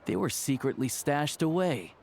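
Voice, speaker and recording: An actor playing a cool, menacing investigator in a video game, questioning in a deliberate, probing way. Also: male voice, mid-range voice, big pitch swings, gravelly, darkish tone. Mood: cool accusation.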